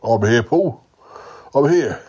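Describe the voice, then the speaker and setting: A man voicing a clueless male yeti uncle who talks slowly and hesitantly. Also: animated, warm tone, husky, lo-fi sound. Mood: bemused. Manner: slow, hesitant, wandering speech with a higher voice.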